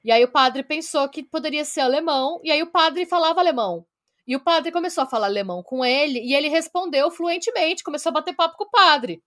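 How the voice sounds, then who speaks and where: A woman's voice: high voice, quick pace, fairly bright tone. A Brazilian woman co-hosting a podcast, retelling a story from her research in a blunt, casual way with some swearing. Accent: Brazilian Portuguese. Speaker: podcast co-host.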